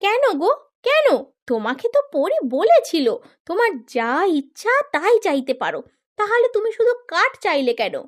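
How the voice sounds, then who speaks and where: A woman's voice: very high voice, wildly swooping pitch, normal speed, pitched above the performer's own voice, full character voice. A woman voicing a greedy, nagging, scheming wife of a poor woodcutter in a children's cartoon, exclaiming and wheedling. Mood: reproachful, sarcastic. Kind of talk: Bengali children's cartoon voice acting.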